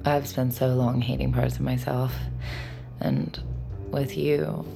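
An adoring, vulnerable woman in love. She speaks slowly and intimately, with very creaky, earnest tenderness, in an audio drama scene over clear music. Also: a bass voice, flat delivery, heavily acted. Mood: tender, adoring. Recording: prominent music under the voice.

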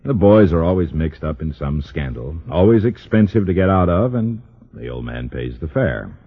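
A breezy, cynical American newspaperman in a 1950s radio drama. His voice is low and husky, with slangy, dismissive delivery. The vintage recording is narrow and phone-like. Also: big pitch swings, normal speed, exaggerated voice. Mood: scornful.

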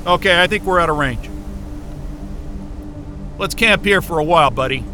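An actor playing a weary, disgruntled scientist who mutters sardonic, profane asides in a naturalistic audio drama, with noise around him. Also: male voice, on the high side, moderate pace, sharp tone. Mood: dry, weary. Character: weary, disgruntled male astrophysicist talking to his dog.

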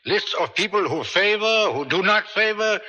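An actor playing a cold, officious villain in a radio drama, speaking formally and with condescension. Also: male voice, high voice, expressive, moderate pace, pushed and bright, muffled recording, full character voice. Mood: condescending. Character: cold, officious villain posing as government agent. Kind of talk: 1970s American radio drama acting. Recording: reverberant hall-like radio drama sound.

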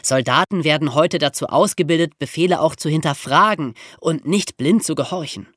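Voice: mid-range voice, normal speed, fairly bright tone, no echo, clean studio sound. A podcast co-host talking conversationally to young listeners.

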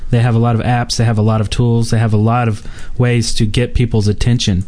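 A man's voice: medium pitch, level tone, brisk, warm tone. A man hosting a casual gaming podcast, talking loosely with lots of fillers and restarts. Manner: rambling, many fillers and restarts. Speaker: podcast host.

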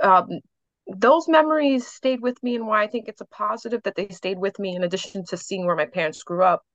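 A woman's voice: medium pitch, no echo, highs cut off. A New York-born woman whose first language is Haitian Creole, chatting and reflecting with frequent laughs as a guest on a podcast interview. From New York City. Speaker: author and guest.

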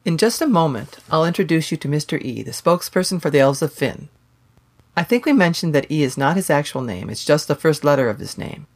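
A woman hosting a podcast, explaining things in a measured way. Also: sits low, animated. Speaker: podcast host. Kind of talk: casual podcast chat and scripted intro.

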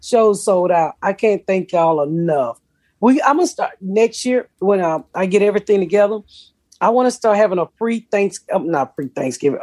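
A woman with a deep voice, hosting a podcast in brash, profane, laughing casual chat. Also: a little mellow. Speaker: podcast host.

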